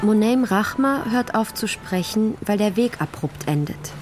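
A woman's voice: medium pitch, animated, a little slow, darkish tone, faint music behind. A woman narrating a scripted radio feature in scenic, descriptive sentences.

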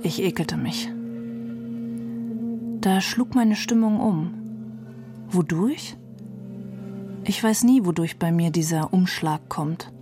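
A woman's voice: lowish voice, takes it slowly, long pauses, music in the background. A woman giving a literary reading of a novel for a radio feature. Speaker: reader of a novel.

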